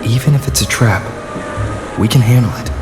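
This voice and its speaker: An actor in a video game playing a calm, wary adventurer hero, measured and serious, as if thinking aloud. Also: male voice, mid-range voice, loud music behind the voice, natural voice. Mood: wary, resolved. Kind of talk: video game character voice acting.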